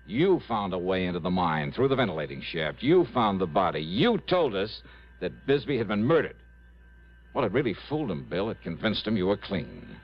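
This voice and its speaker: A shrewd radio-drama investigator with a lowish voice. His delivery is deliberate and pointed, swinging widely in pitch. The sound is a muffled vintage broadcast. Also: sing-song, exaggerated voice. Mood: accusing, pressing. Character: shrewd, confident hard-boiled male insurance investigator. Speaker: star of a detective radio drama. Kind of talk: 1950s-60s radio drama acting with first-person narration.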